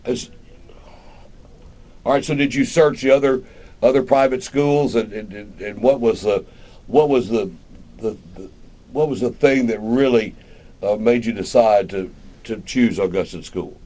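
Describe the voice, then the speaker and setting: A man hosting a live radio interview in a folksy, halting way, often repeating words. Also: medium pitch, dark tone. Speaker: radio show host.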